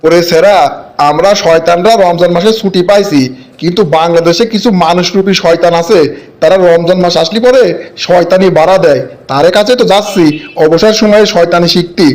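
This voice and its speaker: A man's voice: sits high, fairly quick, a little mellow, close to an everyday voice. A man voicing a cheeky, indignant older devil in a comic cartoon, ranting in colloquial exclamations. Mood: eager, scheming.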